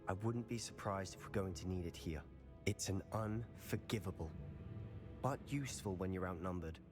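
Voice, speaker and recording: An actor playing a confident young male wizard student who calmly persuades a friend to learn a forbidden spell. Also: sits low, moderate pace, close to an everyday voice. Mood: persuasive. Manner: calm, persuasive, conspiratorial. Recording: game voice over loud music. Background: game music.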